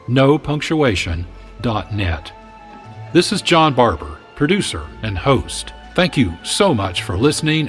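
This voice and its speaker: A man hosting a radio show, reading scripted announcements in a measured, formal way. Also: medium pitch. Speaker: radio show producer and host.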